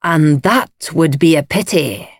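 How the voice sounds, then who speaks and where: A woman voicing a stern, stubborn male Scottish head gardener in an audiobook, speaking slowly, firmly and with quiet menace. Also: low voice, animated, breathy edge, no room sound, studio quality, full character voice. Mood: discouraging. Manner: slow, firm, noncommittal, quietly menacing. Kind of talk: audiobook character voice.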